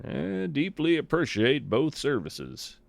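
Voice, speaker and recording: Slow, lively whimsical naturalist played by a man. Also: a little high, creaky, no echo, full character voice. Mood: musing, fond. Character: whimsical, gentle naturalist host chatting over breakfast at home. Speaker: podcast host and narrator. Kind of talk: scripted whimsical monologue, conversational storytelling.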